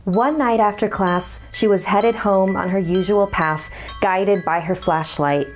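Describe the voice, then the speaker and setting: A woman's voice: medium pitch, measured pace. A woman reading a scripted horror story as its narrator, with music behind her.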